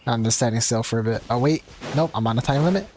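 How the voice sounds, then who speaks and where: A man's voice: mid-range voice, fast, darkish tone, slight background noise. A young man commenting casually on his gameplay as a streamer, chatty and rambling. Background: faint game music.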